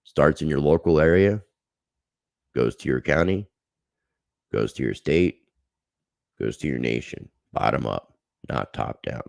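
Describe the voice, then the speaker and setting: A man's voice: a bass voice, animated, a little slow, creaky, long pauses, darkish tone, dry recording, studio quality. A man hosting a livestream, chatting casually and earnestly to his viewers. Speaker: livestream host.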